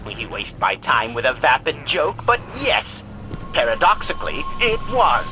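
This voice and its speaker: An embittered male scientist in a sci-fi video game, played by an actor, haughty and verbose. His mid-pitched delivery swings dramatically with irritable self-importance, and game noise runs clearly underneath. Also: sing-song, moderate pace, lightly acted.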